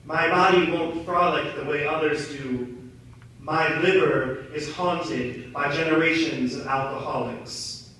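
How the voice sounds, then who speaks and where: Young male monologist, bright intense voice, deliberate and poetic, distant in a reverberant room. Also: fairly high pitch, fairly even intonation. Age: young adult. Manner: theatrical, deliberate, poetic delivery. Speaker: monologue performer. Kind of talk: dramatic spoken-word monologue. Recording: very echoey, distant mic, noisy room.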